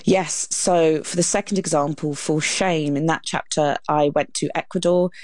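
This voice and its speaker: A woman author speaks as an interview guest. Her voice sits fairly low, and she explains things in an articulate way. The sound is close and dry. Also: steady tone, moderate pace, a little mellow, dry recording.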